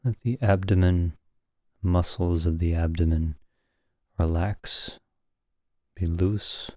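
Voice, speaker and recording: A man leading a guided meditation as a teacher, speaking calmly and gently in short phrases with long pauses. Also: low-pitched, no echo.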